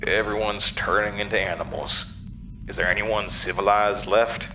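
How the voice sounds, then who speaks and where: A man's voice: fairly low pitch, steady tone, moderate pace, sharp tone, slight background noise, low-quality recording. A man playing an irritable, snobbish career man muttering to himself in a horror audio drama. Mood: disdainful, tense.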